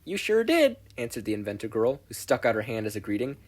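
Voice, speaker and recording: A podfic narrator reading a scripted story aloud in an expressive storytelling style. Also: mid-range voice, fast.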